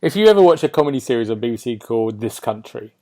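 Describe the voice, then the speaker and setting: A man's voice: mid-range voice, lively intonation, very fast, warm tone. A British man hosting a podcast, chatting casually about video games and often turning statements into questions. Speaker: podcast host.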